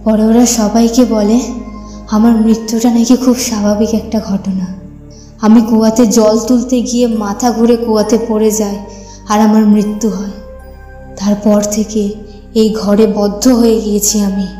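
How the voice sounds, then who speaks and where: A woman's voice: mid-range voice, level tone, measured pace, mellow, natural voice. A woman playing a sorrowful, wronged young woman's ghost in a cartoon horror story, plaintive and solemn. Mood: mournful. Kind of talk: dramatic audio story acting for cartoon. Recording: echoey, long reverb, music behind.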